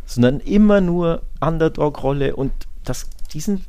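A man's voice: fairly high pitch, normal speed, dark tone, clean tone, no echo. A man co-hosting a football podcast in casual discussion, talking colloquially and emphatically and often rephrasing himself.

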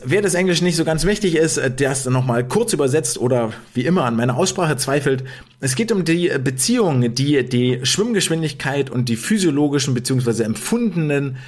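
A man hosting a swimming podcast in casual talk, chatty with many fillers and restarts. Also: medium pitch. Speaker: podcast host.

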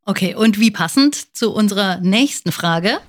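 A woman's voice: medium pitch, expressive, moderate pace. A woman hosting a podcast, talking to her listeners and guest. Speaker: podcast host.

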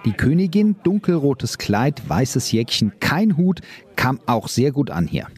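A man reporting for regional radio with a husky, slightly breathy voice. He keeps the tone lively and playful, adding wry asides as he reports. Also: medium pitch, unhurried, dry recording. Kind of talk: light news report.

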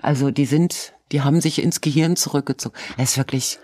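A woman's voice: deep voice, steady tone, a little fast, dark tone, no echo, clean studio sound. A woman from the with a cold, chatting casually and rambling on at length, on a video call. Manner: chatty, self-pitying, rambling. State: has a cold. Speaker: co-host. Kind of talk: casual chat.

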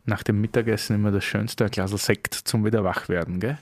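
A man's voice: on the low side, fairly even intonation, a little fast, very noisy. A man from Styria with an Austrian accent, chatting casually as a podcast co-host in short remarks.

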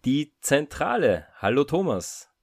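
A sick male co-host with a slightly hoarse, gravelly voice. He rambles and jokes casually. The sound is dry. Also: on the high side, very animated, unhurried, no room sound. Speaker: podcast co-host. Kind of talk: casual podcast chat.

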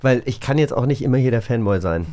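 A man's voice: mid-range voice, animated, fast talker, dark tone. A man co-hosting a podcast in casual chat, with a teasing, bantering manner. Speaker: podcast co-host.

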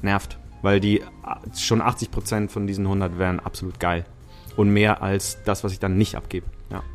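Man, lower voice, talkative podcast guest, musician. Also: moderate pace, soft background music. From Switzerland. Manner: lively, talkative, laughs easily, many fillers. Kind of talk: casual chat.

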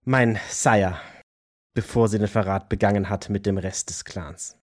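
A man's voice: lowish voice, steady tone, a little slow, close to an everyday voice. Man playing an embittered, agitated male vampire. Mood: bitter. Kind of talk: German audio drama role-play acting.

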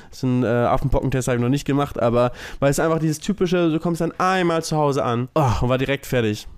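A man's voice: medium pitch, quick pace, smooth. A man co-hosting a podcast in casual, joking conversation. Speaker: podcast co-host. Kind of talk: casual comedic chat.

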